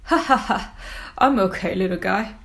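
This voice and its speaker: A woman's voice: mid-range voice, moderate pace, creaky, fairly bright tone, pitched above the performer's own voice. A woman voicing a huge, friendly blue whale in a children's storybook, with a hearty, reassuring manner. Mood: jolly, reassuring.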